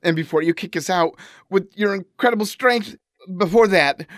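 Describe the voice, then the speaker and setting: A woman's voice: fairly low pitch, moderate pace, no room sound, studio quality, natural voice. A woman playing an eager, gushing teenage fan club leader in a comedy audio drama. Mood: pleading. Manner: gushing, earnest, excitable.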